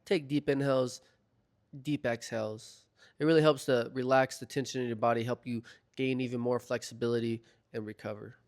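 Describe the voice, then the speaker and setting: A young man in a laid-back, chatty voiceover, his voice slightly hoarse from a recent illness. He talks casually and keeps dropping in fillers as he walks through a stretching routine. His pitch sits in the middle range. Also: a little fast. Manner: relaxed, chatty, filler-heavy. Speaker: fitness vlogger. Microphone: voiceover mic.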